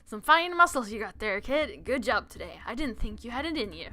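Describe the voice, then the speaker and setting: A woman voicing a gruff, bossy female market merchant in a visual novel game. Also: fairly high pitch, sing-song, fairly quick, pushed and bright, slight background noise. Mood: gruff approval.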